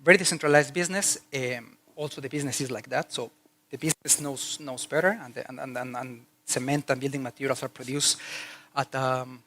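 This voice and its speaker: A man with a Mexican Spanish accent addresses a conference audience in a loose, chatty way. His pitch sits in the middle for a man. He often pauses on "uh" and restarts his sentences as he goes. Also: steady tone, fairly bright tone, slight background noise. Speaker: conference speaker. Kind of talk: business conference talk. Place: conference hall.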